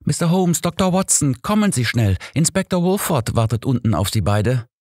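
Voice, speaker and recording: A man's voice: mid-range voice, sing-song, moderate pace, darkish tone, no echo, clean studio sound, pitched above the performer's own voice. A man voicing a flustered, urgent woman of the household in an audiobook. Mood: breathless urgency.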